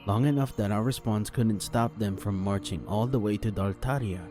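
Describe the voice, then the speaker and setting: Man acting a grave, low-voiced brooding warrior. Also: moderate pace, over music, natural voice. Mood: grim, reasoning.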